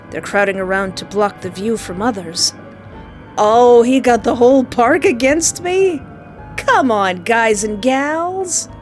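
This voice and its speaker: Woman joking through a visual novel. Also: mid-range voice. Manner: sarcastic, joking asides and squealing panic. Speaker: let's play gamer. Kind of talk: reading a visual novel aloud with reactions.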